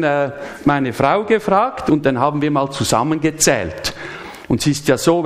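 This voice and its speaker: Man giving a sermon, chatty, questions the congregation, church service. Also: on the high side. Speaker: pastor.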